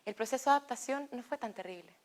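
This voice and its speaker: Young Chilean woman reciting a slow testimony. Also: a little high. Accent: Chilean Spanish. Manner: slow, deliberate storytelling with pauses. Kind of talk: personal testimony read as narration.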